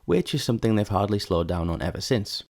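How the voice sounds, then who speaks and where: A man's voice: on the low side, expressive, fast talker, darkish tone. A man reading scripted narration as the narrator of a video essay.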